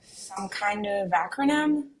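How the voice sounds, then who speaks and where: A woman's voice: medium pitch, lively intonation, slow pace, husky. A woman playing a playful, curious millennial twin sister with a mystical streak, in a romcom table read. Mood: casual.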